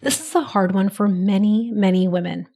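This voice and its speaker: A woman in her thirties talks straight into a studio microphone, hosting her own podcast. Her voice carries a slight rasp from a cold, and she speaks in a gentle, encouraging coaching manner. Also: medium pitch, fairly even intonation, normal speed. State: raspy, recovering from a cold. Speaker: podcast host. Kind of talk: solo podcast monologue.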